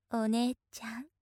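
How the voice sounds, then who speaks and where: A woman's voice: fairly high pitch, darkish tone, no echo, clean studio sound, exaggerated voice. A woman playing an obsessive, possessive big sister in a voice drama, coaxing sweetly with an unhinged edge. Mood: blissful. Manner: clingy, coaxing, slipping into intense whispers. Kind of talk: Japanese situation voice drama acting.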